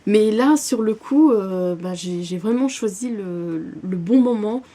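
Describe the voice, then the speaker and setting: A woman's voice: mid-range voice, lively intonation, measured pace, mellow. A young woman vlogging about her current reading in a casual, hesitant way, full of fillers and restarts. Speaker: book vlogger.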